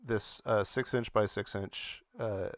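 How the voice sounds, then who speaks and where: Low-voiced man, casual stream host. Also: steady tone, slow, no echo. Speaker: podcast host running the stream. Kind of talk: casual live-stream chat.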